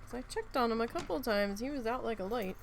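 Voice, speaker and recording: A woman with a hoarse, scratchy voice, chatting in a rambling, casual way as she hosts a needle-felting livestream from home. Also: a little high, lively intonation, quick pace. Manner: chatty and rambling, talking while she works. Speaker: craft livestream host.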